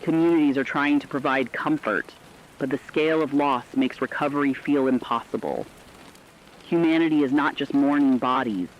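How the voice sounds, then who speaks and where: A man's voice: medium pitch, moderate pace, slight background noise. Solemn man on a dull lo-fi broadcast. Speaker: radio newscaster in a horror story. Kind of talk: scripted emergency radio broadcast narration.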